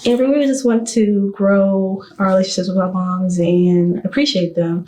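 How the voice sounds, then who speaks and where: Young woman hosting, halting and mellow. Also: mid-range voice, fairly even intonation, poor audio. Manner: hesitant, halting, with many fillers and restarts. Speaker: discussion host. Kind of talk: casual group discussion.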